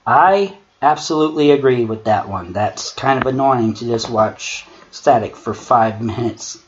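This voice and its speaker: Slow, theatrical, sarcastic god of struggle played by a man. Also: mid-range voice, lively intonation, lightly acted. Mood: sarcastic exasperation. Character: male god of struggle, Discord's brother, wry theatrical critic. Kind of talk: dramatic creepypasta reading with mocking commentary. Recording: dull lo-fi mic with clear noise.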